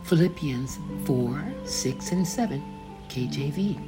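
An older woman reading her own faith memoir aloud, slowly and deliberately, pausing to gloss the names in scripture. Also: sits low, rough recording. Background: background music.

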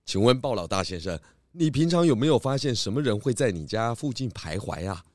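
A man playing a calm, kindly pig detective in a children's audio drama. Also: medium pitch, a little fast, no echo, natural voice. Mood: calm, serious. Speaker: detective lead of children's story podcast.

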